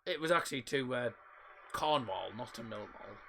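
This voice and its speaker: A man, voice croaky from a sore throat, giving casual live football commentary and rambling chat on his own stream. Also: medium pitch, animated, moderate pace, a bit bright. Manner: casual, rambling, mixes up names.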